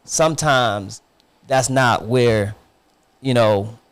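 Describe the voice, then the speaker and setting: A man's voice: medium pitch, drawn-out words, clean tone. A man, hosting a loose, laughing chat with old friends. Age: 50s. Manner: laughs often, loose streetwise banter.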